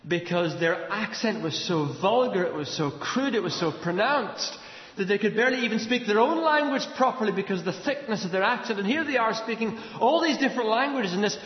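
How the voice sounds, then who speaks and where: A man's voice: high-pitched, lively intonation, fairly quick. A man with an Irish accent preaching a sermon, retelling a passage as dramatic storytelling with repeated words and rhetorical questions. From Ireland. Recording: echoey large hall, slightly dull sound.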